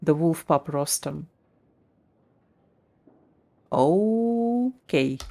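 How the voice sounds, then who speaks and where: A woman's voice: deep voice, lively intonation, extremely slow, vocal fry, dark tone. A woman livestreaming a game, reacting casually and thinking aloud.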